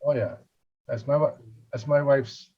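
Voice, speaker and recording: Husky man asking short questions. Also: medium pitch, steady tone, measured pace, dark tone. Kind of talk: casual interview chat. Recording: dull lo-fi sound.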